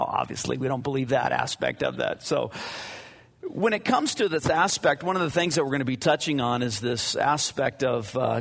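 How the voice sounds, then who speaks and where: A man, a pastor leading a prayer and then teaching the Bible in a halting, conversational way with many fillers. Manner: conversational, anecdotal. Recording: boomy mic with long reverb.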